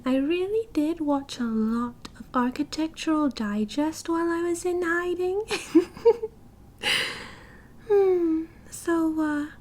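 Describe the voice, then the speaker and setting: A woman's voice: high-pitched, dark tone. A British woman playing a sweet, giggly, oblivious yandere kidnapper who rambles and coos in an ASMR audio roleplay. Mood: chatty, teasing. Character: oblivious, cheerful, doting yandere kidnapper girl. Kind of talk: ASMR audio roleplay, soft casual monologue.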